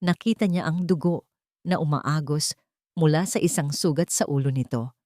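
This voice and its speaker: Female storyteller, lower register, dry studio sound, dramatic scripted romance narration. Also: normal speed, darkish tone, no echo.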